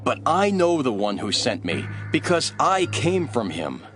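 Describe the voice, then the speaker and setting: A man's voice: medium pitch, huge pitch swings, unhurried, a bit bright, soft background music. A man playing Jesus as a bold, earnest teacher addressing crowds in an audio Bible drama. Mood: proclaiming.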